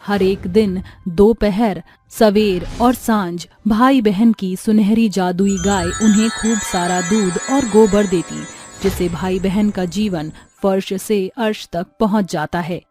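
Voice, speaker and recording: A woman narrates a scripted story. Her voice is soft-edged and mellow, sitting at a medium pitch. Faint music plays underneath. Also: slow pace.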